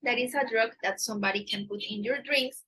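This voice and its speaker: A woman's voice: fairly high pitch, a little fast, pushed and bright, husky. A Colombian woman with a Paisa accent, a native Spanish speaker, giving earnest advice on a live Q&A in careful English full of fillers. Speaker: dating agency staff on a live Q&A.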